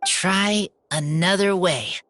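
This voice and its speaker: Feral teen boy for a game: low-pitched, raspy, very slow, halting and earnest. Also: a bit bright, faint music behind. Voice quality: husky. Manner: halting, simple broken phrases. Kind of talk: video game voice acting.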